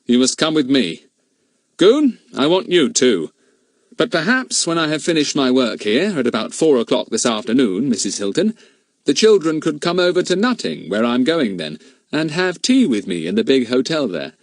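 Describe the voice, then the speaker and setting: A man's voice: mid-range voice, very animated, moderate pace, no room sound, studio quality, pitched above the performer's own voice, natural voice. A man doing a stern police inspector. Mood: firm. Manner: firm, formal and courteous.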